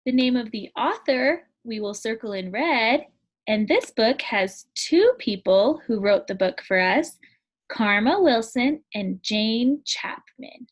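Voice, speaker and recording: A woman with a high, light voice reads a children's picture book aloud, taking her time. She sounds like a teacher at storytime, clear and careful with each line. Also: animated. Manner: slow, clear storytime reading.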